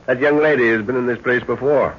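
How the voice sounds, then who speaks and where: An actor playing a calm, authoritative middle-aged father leading a jungle expedition in a 1930s radio adventure, speaking in a measured, commanding way. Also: medium pitch, close to an everyday voice. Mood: matter-of-fact. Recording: muffled, noisy 1930s radio recording.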